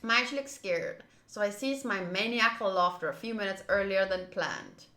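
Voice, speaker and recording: Bright-voiced woman streaming a visual novel. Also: mid-range voice, moderate pace. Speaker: game streamer. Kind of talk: visual novel playthrough, reading aloud with casual commentary. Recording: roomy echo, faint game music. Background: faint game music.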